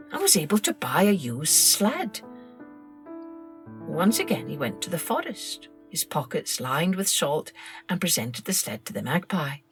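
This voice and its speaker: Expressive woman narrating a children's story. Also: on the low side. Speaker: children's storytelling podcast host.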